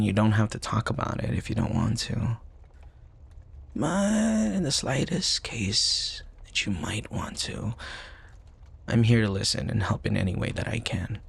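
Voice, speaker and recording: A man playing a young college student, a protective, teasing and tender best friend, in an intimate ASMR roleplay with soft, sighing, hesitant delivery. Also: lowish voice, exaggerated voice. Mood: gentle, concerned. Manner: soft, sighing, hesitant stammers, breathy asides.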